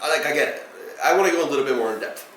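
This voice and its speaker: A man's voice: medium pitch, animated, very fast, a bit bright. A man in his thirties talking casually to camera about his plans, rambling with many fillers and restarts. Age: 30s. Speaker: real estate vlogger. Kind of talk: casual direct-to-camera talk. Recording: echoey room, voice far from the mic, faint noise.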